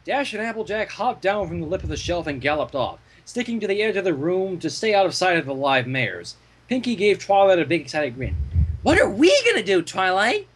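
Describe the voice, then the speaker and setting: A man narrates fan fiction expressively. His voice is fairly high with a husky grain and very lively intonation. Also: brisk, poor audio.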